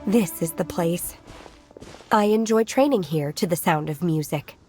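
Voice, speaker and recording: An actress plays a serene young woman raised in the mountains, in an anime game. Her voice sits a little low, measured and formal, with an earnest, socially naive literalness. Faint music plays behind. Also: a little mellow, natural voice. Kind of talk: anime-style video game voice acting.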